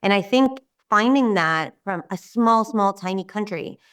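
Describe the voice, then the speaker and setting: A middle-aged woman with an Israeli accent, speaking English as her second language, heard slightly away from the mic. Her voice is smooth and resonant. She rambles warmly, often restarting her sentences. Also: mid-range voice, expressive, measured pace, distant mic. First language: Hebrew. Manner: rambling, warm, with many restarts and fillers. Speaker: guest. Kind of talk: casual interview talk.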